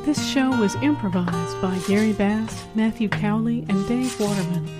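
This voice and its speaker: A woman's voice: medium pitch, normal speed. A woman reading a scripted credits announcement. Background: loud guitar music.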